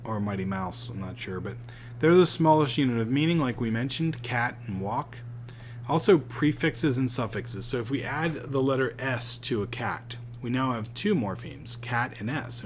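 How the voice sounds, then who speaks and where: A man's voice: medium pitch, slight background noise. A man from Pittsburgh with a Pittsburgh accent, teaching a recorded lecture in a chatty, conversational way with casual asides.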